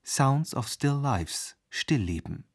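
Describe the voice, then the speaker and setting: A man's voice: mid-range voice, expressive, takes it slowly, slightly rough. A man reading scripted credits as the announcer of a radio play.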